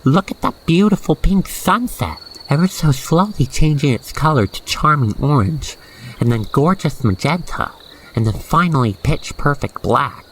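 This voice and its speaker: An expressive narrator guiding the listener through an ASMR roleplay story in a gushing, sentimental manner. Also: medium pitch, vocal fry, slight background noise, poor audio.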